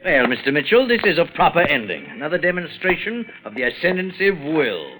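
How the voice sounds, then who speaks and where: A suave, scornful rich villain from vintage radio suspense. His voice is bright and somewhat high, swinging widely in pitch. He speaks with pompous, theatrical politeness, through the sound of an old broadcast. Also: sing-song, quick pace, poor audio. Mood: smug, gloating. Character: suave, wealthy male villain, smug, scornful, imperious.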